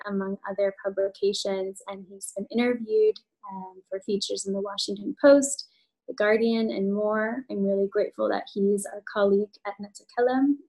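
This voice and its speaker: A woman hosting an event, giving a scripted introduction in a measured way. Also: medium pitch, a little mellow, poor audio. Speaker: event host.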